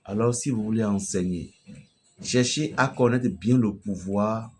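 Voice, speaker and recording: A man from Benin with a Beninese French accent, preaching an emphatic, storytelling message to a fellow pastor. His voice swells loudly at the climaxes. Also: on the low side. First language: a local Beninese language.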